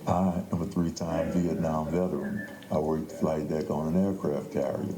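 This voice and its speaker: A man's voice: a bass voice, steady tone, normal speed, crowd noise. An older man, a playwright, telling stories in an interview conversation. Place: indoors at a theater. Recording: echoey room, faint background noise.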